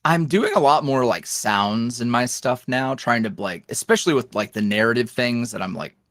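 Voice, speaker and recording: A man speaking as a podcast guest in a casual interview, animated and jokey, with slangy, self-deprecating talk. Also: mid-range voice, normal speed, no echo, clean studio sound. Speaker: podcast guest, audio roleplay creator.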